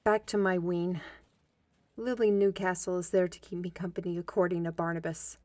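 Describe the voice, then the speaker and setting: A woman's voice: on the low side, little pitch movement, moderate pace, darkish tone, no echo, close to an everyday voice. A woman as a weary, withdrawn steward. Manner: subdued, deflecting.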